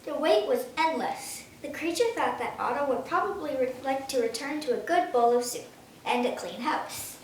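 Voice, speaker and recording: A woman's voice: high voice, lively intonation, moderate pace. A ten-year-old girl reading a children's storybook aloud. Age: child, ten years old. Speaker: story reader. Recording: very echoey room, distant mic, faint noise.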